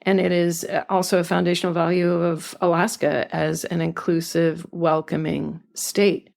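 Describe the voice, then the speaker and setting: Older woman guest, low gravelly voice, earnest and reflective, raised in California. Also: level tone, normal speed, a little mellow. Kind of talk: conversational interview.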